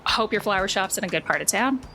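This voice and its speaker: A woman's voice: mid-range voice, animated, fast talker, sharp tone, a little hiss or hum, poor audio. A woman narrating a tabletop game as its game master in a conversational, folksy way.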